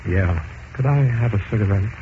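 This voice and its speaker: An actor playing an obliging, evasive German-born spy posing as a patriot, in 1940s radio acting style. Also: male voice, fairly low pitch, moderate pace, lightly acted. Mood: guarded. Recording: muffled, noisy 1940s radio recording.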